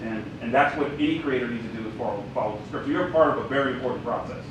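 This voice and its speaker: A man from the writing group, commenting emphatically on a panel and repeating himself as he makes his point. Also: rapid-fire. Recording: echoey room from far off with rumble.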